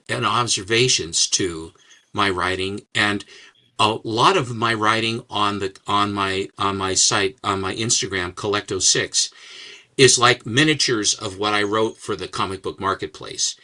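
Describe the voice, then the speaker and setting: An older man talks about his past in a relaxed interview, often stopping and restarting his sentences. His voice sits low but sounds bright and forceful. He wanders from thought to thought in a casual, unhurried way. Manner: rambling, halting, with many restarts and fillers. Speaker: guest, comics writer and collector.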